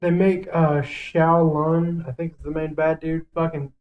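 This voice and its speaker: A man's voice: fairly high pitch, flat delivery, measured pace, a little mellow. A man co-hosting a casual podcast, talking in a blunt, foul-mouthed and emphatic way as he gives his opinions. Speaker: podcast co-host. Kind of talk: casual podcast chat, ranking list.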